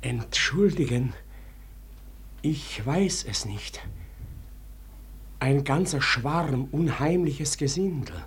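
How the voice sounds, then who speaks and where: A fearful, deferential manservant speaks in a medium-pitched voice with very slow, hesitant phrasing. He sounds awed and uneasy, sinking toward whispers, on a faintly noisy old radio drama recording. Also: lively intonation. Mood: anxious, deferential. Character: frightened, deferential manservant with a dread of uncanny intruders. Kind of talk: classic German radio verse drama.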